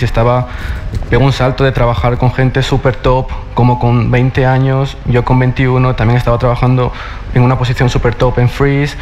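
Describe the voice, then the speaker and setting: A young man from Madrid talks casually as a curator on a panel. His voice sits at a mid pitch, and he drops English words into his speech. Faint auditorium ambience is heard behind him. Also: darkish tone, a little hiss or hum. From Madrid, Spain. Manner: casual, mixes in English terms. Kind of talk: panel talk. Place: stage in a large auditorium.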